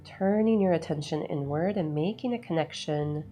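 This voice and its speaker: A woman leading a guided meditation in a soothing, unhurried way, with measured phrases and long pauses. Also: sits low, dark tone. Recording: voice over background music. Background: background music.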